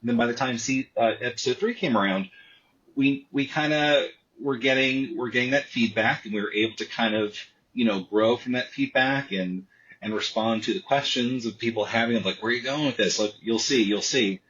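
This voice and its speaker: A man's voice: mid-range voice, moderate pace, lo-fi sound. A man, a comic artist, telling the story of his book in a casual interview, stumbling over restarts as he talks. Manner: repetitive restarts, animated storytelling.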